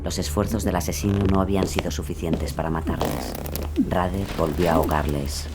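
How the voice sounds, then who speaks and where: A man giving grave, measured scripted narration of a true-crime story. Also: low voice, fairly even intonation, very noisy.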